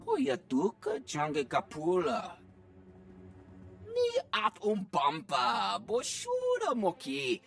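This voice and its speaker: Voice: medium pitch, wildly swooping pitch, slow, creaky, heavily acted. An actor voicing an alien who chatters in an invented alien tongue for a video game. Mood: animated. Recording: background music.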